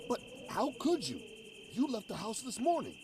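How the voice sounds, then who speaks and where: Loud noise surrounds an actor playing a suspicious, accusing man in a 1940s radio thriller. His pitch swings widely as he presses pointed, skeptical questions. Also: wildly swooping pitch, moderate pace, exaggerated voice. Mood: wary, stern. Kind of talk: 1940s radio horror acting. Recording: loud background noise, insect-like ambience.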